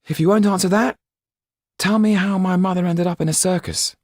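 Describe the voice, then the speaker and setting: A man voicing an eager, questioning teenage boy in an audiobook, with a light, breathy voice and an urgent, earnest manner. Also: high-pitched, steady tone, brisk, darkish tone, dry recording, clean studio sound, voice pushed up, lightly acted. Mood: insistent. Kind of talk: audiobook character reading.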